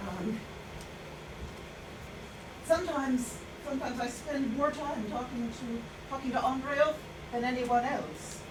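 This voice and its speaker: Actress as halting, haunted woman, roomy and distant. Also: sits high, background noise. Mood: wistful, hesitant. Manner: halting, with repeated words. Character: haunted, hesitant woman, former nurse, writing her memories. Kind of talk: staged play reading, reflective monologue. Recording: roomy, distant mic, music behind.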